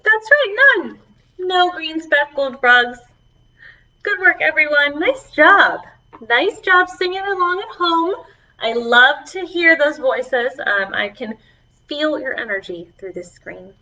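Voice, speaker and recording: A woman children's librarian leading a preschool storytime online, encouraging and animated as she talks to young children. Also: high-pitched, slow pace, far from the mic. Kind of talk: preschool singalong and chat to children. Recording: dull, lo-fi online video audio.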